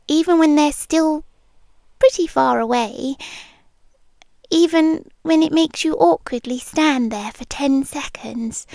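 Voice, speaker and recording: A British woman half-whispering a soft, nervously chatty ASMR roleplay into a close microphone. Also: sits high, no echo.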